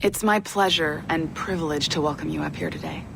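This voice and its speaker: A woman's voice: sits low, brisk, creaky, over music. A woman playing a polished, courteous corporate member of a secret order in a video game, speaking formally. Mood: smooth.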